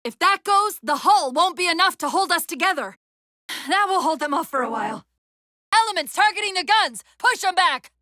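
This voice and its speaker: A woman playing a female military AI companion in a video game, giving crisp tactical commands with dry, sardonic wit. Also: very high-pitched, lively intonation, moderate pace, sharp tone, no echo, full character voice. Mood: urgent, alarmed.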